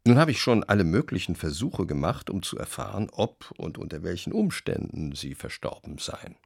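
Casting: a low, gravelly, expressive letter writer, dry close sound. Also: male voice, moderate pace, no room sound, full character voice. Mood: hopeful. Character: devoted letter writer hoping for a reunion. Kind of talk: broadcast documentary reading.

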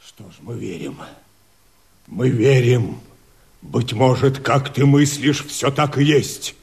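An actor playing a grave, wary Cossack man, speaking verse in a measured, deliberate way in a radio drama. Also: medium pitch, a little mellow, breathy edge, full character voice.

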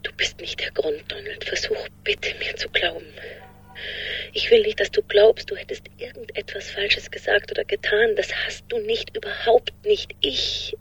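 An actress in a radio drama playing an anguished woman leaving a halting, pleading, whispery breakup message on a voicemail. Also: medium pitch, fairly bright tone, exaggerated voice, poor audio. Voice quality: breathy, whispery. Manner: halting, pleading, repeating herself.

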